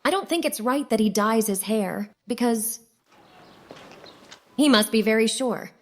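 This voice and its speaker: A woman's voice: fairly high pitch, a little slow. A woman voicing a firm, disapproving mother in a fan-dubbed comic news interview.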